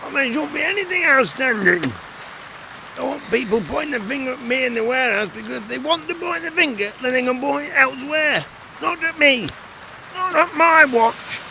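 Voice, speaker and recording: Very high, creaky, touchy warehouseman. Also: expressive, normal speed, full character voice. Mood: defensive. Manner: defensive, insistent. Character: touchy, defensive male warehouse worker, mischievous prankster. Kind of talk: audio comedy drama acting. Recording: clear rain and outdoor ambience noise.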